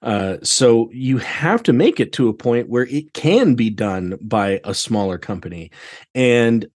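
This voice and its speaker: American male guest, medium pitch, casual chat, animated and emphatic, colloquial phrasing. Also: a little slow. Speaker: podcast guest, theatre director.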